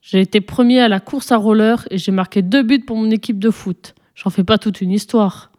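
A cheeky young schoolboy acted by a woman reader from a storybook, impulsive and curious. The talk is blunt and childish, full of exclamation, in a soft-edged mellow tone. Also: mid-range voice, moderate pace, lightly acted. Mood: defiant. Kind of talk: storybook reading, character dialogue.

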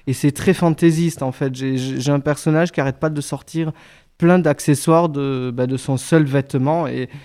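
A man's voice: fairly high pitch, darkish tone. A man, an actor, describing his stage show in a radio interview, often restarting his sentences. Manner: halting, many restarts.

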